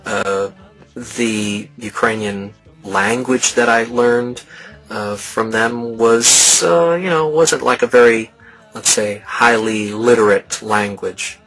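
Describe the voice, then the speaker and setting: Man, lowish bright voice, hedging, thoughtful interview, soft music behind. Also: fairly even intonation, slow pace, poor audio. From United States. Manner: thoughtful, hedging, hand on chin. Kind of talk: documentary interview. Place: indoor studio, red backdrop.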